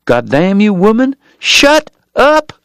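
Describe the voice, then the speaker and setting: A man's voice: medium pitch, level tone, fairly quick, no echo, clean studio sound, natural voice. A man reading aloud and voicing an ailing frontier gambler who speaks in curt, commanding bursts. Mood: angry.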